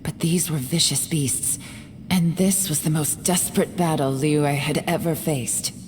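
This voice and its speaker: A woman playing a secretive, composed young investigator in a video game, speaking in a measured, serious way. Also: low-pitched, darkish tone, a little hiss or hum. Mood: solemn, grave. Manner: measured, serious, deliberate delivery. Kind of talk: dramatic video game voice acting. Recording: long reverberant hall sound. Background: faint background music.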